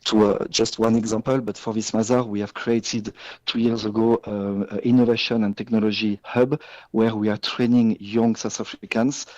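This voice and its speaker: A man from France with a French accent, answering a radio business interview in measured English with frequent hesitations and restarts. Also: fairly low pitch, a little mellow, dry recording, poor audio. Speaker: business guest.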